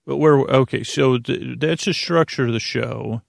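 A man's voice: mid-range voice, no echo, clean studio sound. A man hosting a bedtime podcast in a meandering monologue, stammering over words and losing his thread as he wanders off topic. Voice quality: gravelly, creaky. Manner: rambling, digressive, loses his thread; halting, stammering word repetitions. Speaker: podcast host. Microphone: close, dry podcast mic.

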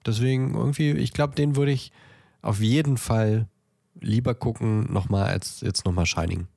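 A man with a lowish, gravelly voice talks casually as a podcast co-host. He thinks aloud in a halting, searching way. The sound is dry and close, with no room around it. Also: steady tone, dark tone, no room sound. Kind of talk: casual chat, ranking films.

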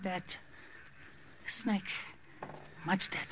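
An actor playing a terse, wary native jungle scout who speaks halting, broken English in an old radio drama. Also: male voice, sharp tone. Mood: flat. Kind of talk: 1950s radio drama acting. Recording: old noisy radio recording.

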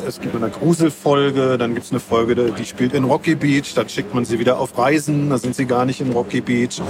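A man speaks as an interviewed comic creator in a mid-range voice. His delivery is casual and explanatory, with colloquial phrasing, over clear urban background noise. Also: mid-range voice.

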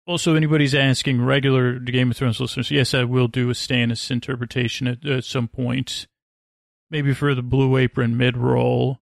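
A man with a creaky voice hosting a sleep podcast, rambling gently and lullingly, often breaking off and drifting into tangents. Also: mid-range voice, little pitch movement, no room sound, clean studio sound. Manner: meandering, digressive, halting with self-interruptions. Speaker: podcast host. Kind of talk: rambling, soothing bedtime monologue.

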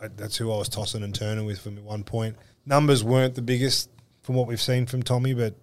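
A man's voice: mid-range voice, little pitch movement, a little fast. A man co-hosting a sports podcast, chatting casually and rambling about players with easy laughs. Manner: laid-back, rambling, laughs easily. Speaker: podcast co-host.

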